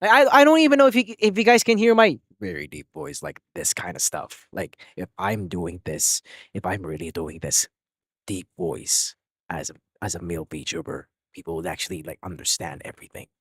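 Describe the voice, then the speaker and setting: A man live streaming, chatting casually to his viewers in an excitable, rambling way with lots of restarts. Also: low-pitched, normal speed, breathy edge, no echo, studio quality. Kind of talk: casual chat to a live audience.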